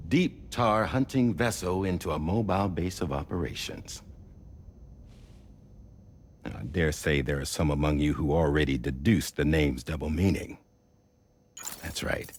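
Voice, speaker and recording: An actor playing a grave, resolute middle-aged director who delivers measured, theatrical oratory in a game cutscene. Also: male voice, low-pitched, a little mellow, slight background noise. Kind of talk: dramatic game cutscene monologue.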